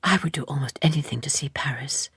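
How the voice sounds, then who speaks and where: A woman's voice: sits low, quick pace, husky, dry recording, clean studio sound, full character voice. A woman voicing an earnest, naive young woman of twenty-three in an audiobook, careful, direct and formally polite. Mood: earnest, composed. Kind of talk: audiobook character dialogue.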